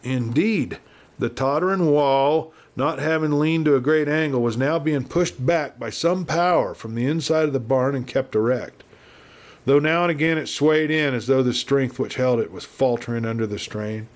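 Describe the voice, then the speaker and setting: A man reads a Western adventure as an audiobook narrator. His pitch is mid-range for a man. The delivery is dramatic and theatrical, shaped as scripted storytelling. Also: moderate pace.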